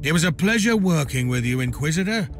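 A man acts a roguish male space captain for a video game, a wily gossip with theatrical courtesy. His pitch swings freely as he talks, with music underneath. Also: animated, fairly quick, lightly acted. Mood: courteous, pleased.

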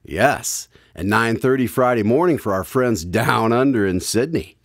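A man's voice: medium pitch, lively intonation, unhurried, no room sound, studio quality. A man playing a cheerful, courteous radio announcer introducing and chatting in a radio drama. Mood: friendly.

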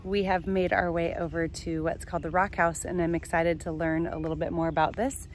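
A woman's voice: on the low side, level tone, a little fast. A woman in her forties chatting upbeat with fillers while filming herself on a phone outdoors on a sunny farm. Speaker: vlog host. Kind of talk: casual travel vlog. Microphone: phone at arm's length.

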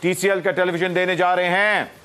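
A man's voice: high voice, flat delivery, brisk, pushed and bright. A man hosting a comedy talk show in a TV studio, teasing guests and announcing a viewer contest. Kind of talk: lively studio chat.

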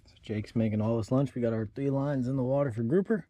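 A man's voice: medium pitch, quick pace, warm tone, dry recording. A young American man giving casual fishing commentary as the vlogger on a trip. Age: young adult. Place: outdoors on a small boat on open water. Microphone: action camera on board. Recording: boat and water noise outdoors.

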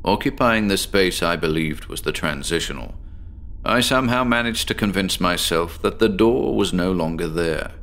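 Horror audio drama: an obsessive, eccentric professor, very low voice, slow deliberate confession. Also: male voice, expressive, a bit bright, slight background noise. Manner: measured, deliberate storytelling with dramatic pauses. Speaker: patient recounting his experiment to a doctor.

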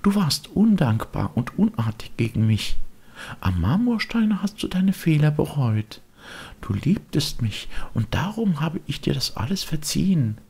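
A man voicing a gentle, motherly fairy, kind but admonishing, in a measured audiobook character voice. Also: a little high, big pitch swings, creaky, husky, pitched above the performer's own voice, heavily acted. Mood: tender.

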